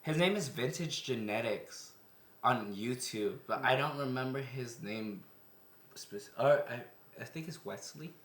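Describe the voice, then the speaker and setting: A man's voice: mid-range voice, lively intonation, measured pace, fairly bright tone, echoey room. A man in his twenties co-hosting a casual podcast, rambling with lots of filler words and laughing often. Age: 20s. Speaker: podcast co-host.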